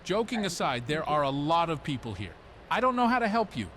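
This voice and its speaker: Guy doing surly money-grubbing crook in a fantasy game tavern, confrontational, highish swingy voice. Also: very animated, a little fast, fairly bright tone, slight background noise. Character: surly, money-minded underworld tavern thug. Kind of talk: fantasy video game dialogue.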